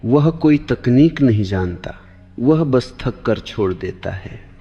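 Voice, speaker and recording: Slow-paced man in long reverb. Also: mid-range voice, animated, dark tone. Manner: deliberate, short measured phrases with repetition. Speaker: spiritual teacher. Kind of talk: slow meditative discourse for sleep. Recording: long hall reverb, dull lo-fi sound. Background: clear background music.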